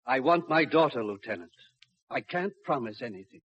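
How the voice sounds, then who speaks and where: An actor playing a distraught, stubborn wealthy father with a husky voice in a 1950s radio drama. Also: mid-range voice, animated, moderate pace, very noisy, natural voice. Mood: pleading. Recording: dull, dry 1950s radio recording.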